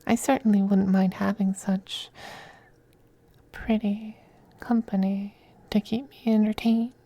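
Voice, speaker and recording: A man playing a possessive, teasing femboy vampire lover in an ASMR roleplay, speaking in soft, languid, condescending half-whispers. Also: sits high, little pitch movement, slow, heavily acted.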